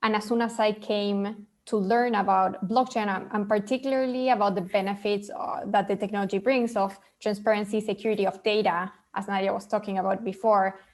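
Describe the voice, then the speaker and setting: A woman's voice: mid-range voice, fairly even intonation, a little fast, hardly any pauses. A woman from Argentina, a panelist answering at length in an earnest way, with many fillers and repeated words. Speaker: panelist from a children's charity.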